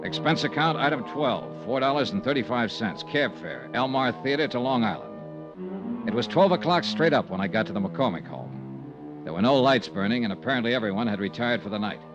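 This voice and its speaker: A man's voice: medium pitch, animated, a little fast, close to an everyday voice. Hard-boiled male investigator, played by an actor, clipped, wry, matter-of-fact, dull vintage radio sound, music. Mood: dry narration. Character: tough, wry freelance insurance investigator, adult man. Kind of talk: 1950s radio detective drama acting with first-person narration.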